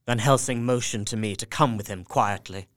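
A man's voice: on the low side, moderate pace, fairly bright tone, lightly acted. A man as earnest young Jonathan Harker. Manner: earnest, subdued diary narration. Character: young husband Jonathan Harker, anxious, devoted. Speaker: narrator-diarist. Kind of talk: audio drama narration.

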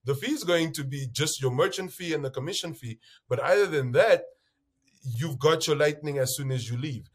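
A man from Namibia, a guest on an interview show, speaking loudly and telling stories with quoted voices. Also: a little high, normal speed, husky. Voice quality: loud voice. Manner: acts out bar conversations, lively quoted voices. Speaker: bitcoin entrepreneur guest. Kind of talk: animated interview storytelling.

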